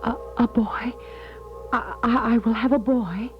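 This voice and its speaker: A woman's voice: a little high, steady tone, a little slow, natural voice. An actress playing a polite, hesitant young engaged woman in a radio drama. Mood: anxious wonder. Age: young adult. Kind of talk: 1970s radio drama acting. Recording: muffled 1970s radio broadcast, music behind.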